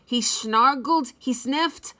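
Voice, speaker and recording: A woman reads a storybook to children with dramatic expression. Her voice is bright and a little high, and she takes her time over the lines. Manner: dramatic, deliberate. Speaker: teacher reading aloud.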